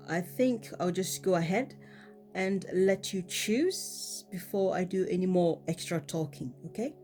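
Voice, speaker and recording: A woman with a cold giving a casual tarot card reading, talking in a relaxed way with many ums and repeated "okay?". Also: fairly low pitch. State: has a cold. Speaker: tarot reader.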